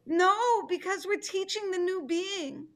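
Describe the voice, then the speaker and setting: A woman's voice: very high-pitched, slow pace, fairly bright tone, heavily acted. A middle-aged woman playing a patient, fretful, motherly sea turtle with coaxing delivery in a play reading. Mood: alarmed. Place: living room indoors. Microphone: computer mic on video call.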